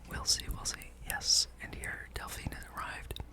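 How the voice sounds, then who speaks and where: This is a gaming host speaking entirely in a whisper for ASMR. The delivery is a rambling, think-aloud commentary on gameplay, kept hushed throughout. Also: moderate pace.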